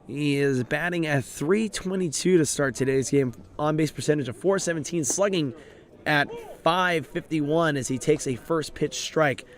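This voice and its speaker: Raspy, hoarse baseball play-by-play man. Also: a little high, normal speed. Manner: steady, informative, rises with the action. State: hoarse, voice drained. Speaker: play-by-play announcer. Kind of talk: live baseball play-by-play commentary.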